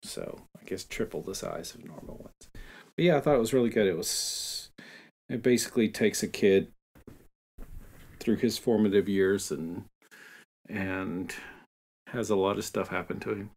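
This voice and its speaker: A man's voice: on the low side, moderate pace, creaky, long pauses, a little mellow. Man chatting casually about books. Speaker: podcast co-host. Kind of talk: casual book-discussion chat.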